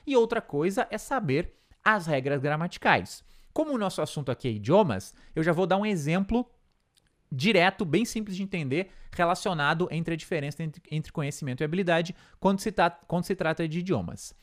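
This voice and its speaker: A man's voice: fairly high pitch, animated, a little fast. A man with a Brazilian Portuguese accent teaching an English lesson, explaining step by step and checking in with frequent tag questions.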